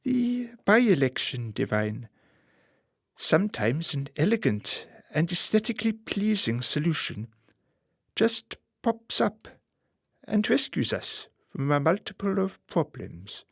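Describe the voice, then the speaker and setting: A man as a slow, creaky, suave schemer. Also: fairly high pitch, sing-song, dry recording, clean studio sound, full character voice. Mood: controlling, sly. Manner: formal, measured, deliberate phrasing. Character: suave, calculating male political fixer, courteous but menacing. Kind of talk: radio play acting.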